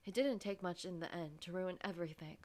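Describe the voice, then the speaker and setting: A woman voicing an anxious, guilt-ridden office worker who narrates a story game in a dramatic, wounded, halting way. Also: on the low side, close to an everyday voice. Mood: miserable.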